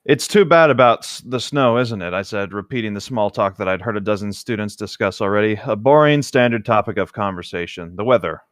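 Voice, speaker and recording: A man co-hosting a casual read-aloud, halting and agreeable as he adds chatty asides. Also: fairly low pitch, dry recording, studio quality. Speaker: co-host of a humorous read-aloud commentary.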